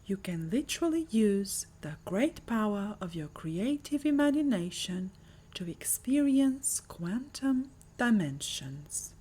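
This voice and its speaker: A woman with a soft, breathy voice narrating a guided meditation in a soothing, measured manner. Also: mid-range voice, big pitch swings. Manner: soothing, measured, breathy delivery with lingering pauses.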